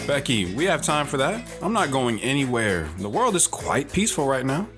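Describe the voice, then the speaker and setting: A man's voice: mid-range voice, very animated, moderate pace, close to an everyday voice. Man acting a devoted young husband over guitar. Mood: reassuring. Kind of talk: intimate dialogue in an audio drama flashback. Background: guitar music under the voice.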